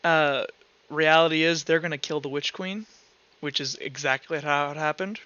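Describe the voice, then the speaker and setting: A man's voice: on the high side, steady tone, normal speed, pushed and bright, clear, resonant tone, lo-fi sound. A man running a casual tabletop roleplay session as game master, speaking bluntly and swearing casually. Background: faint music.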